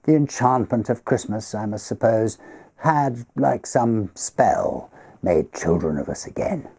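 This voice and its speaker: A man with a husky, gravelly voice reads a literary ghost story aloud in a theatrical, measured way. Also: on the low side. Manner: theatrical, measured, expressive phrasing. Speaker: story reader.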